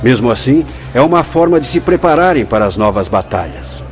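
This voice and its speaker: A man's voice: mid-range voice, animated, a little fast, close to an everyday voice. An actor in a Brazilian radio drama playing a grave, fatherly but stern spirit director, speaking formally with a Brazilian accent. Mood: grave, instructive. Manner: solemn, declamatory, formal. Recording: dull lo-fi audio with music behind.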